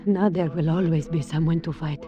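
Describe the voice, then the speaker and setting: Actress as bold seductive adventuress in vintage radio drama. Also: female voice, low-pitched, normal speed, close to an everyday voice. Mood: intimate, commanding. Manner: theatrical, formal, flattering phrasing. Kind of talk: old-time radio adventure acting. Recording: old radio recording with music behind.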